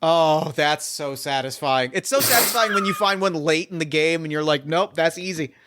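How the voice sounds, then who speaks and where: A man's voice: fairly high pitch, moderate pace, noisy background. A man running a tabletop game as host, chatting casually and thinking aloud with lots of fillers and restarts.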